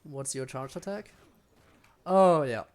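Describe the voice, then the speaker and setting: A male game streamer with a mid-pitched voice. He comments casually as he plays, muttering to himself and tossing wry asides at the game. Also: expressive, slow pace. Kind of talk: casual live gameplay commentary.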